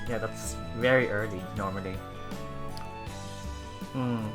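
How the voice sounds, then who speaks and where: A man hosting a let's play, reading game text aloud and commenting casually in a halting, think-aloud way. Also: lowish voice, steady tone. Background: game music.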